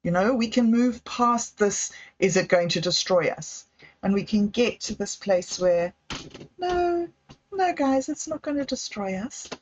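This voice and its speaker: A woman's voice: medium pitch, expressive, unhurried, muffled recording. A woman hosting her own livestream, chatting in a rambling, effusive way and often breaking off mid-sentence. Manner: effusive, rambling, self-interrupting. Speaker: livestream host. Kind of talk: rambling solo livestream chat.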